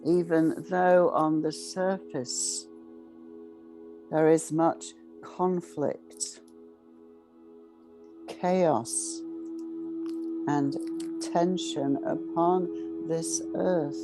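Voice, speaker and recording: A woman with a slightly low voice leads a guided meditation at an extremely slow pace. She speaks solemnly, like a ceremonial invocation, leaving long pauses between short phrases. Meditative music with singing bowl tones plays clearly behind her. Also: steady tone, warm tone, rough recording.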